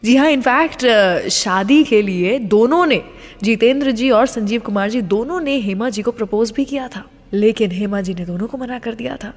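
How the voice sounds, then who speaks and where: A woman's voice: fairly high pitch, moderate pace. A woman with an Indian accent hosting a radio show, telling scripted stories and introducing songs in a dramatic, emphatic way. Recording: long reverb on the voice.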